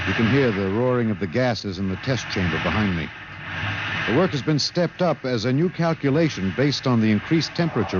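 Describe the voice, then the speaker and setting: An actor playing a grave, urgent news reporter in a 1950s radio drama, with a formal, measured delivery. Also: male voice, medium pitch, background noise, close to an everyday voice. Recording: dull old radio recording, background roar.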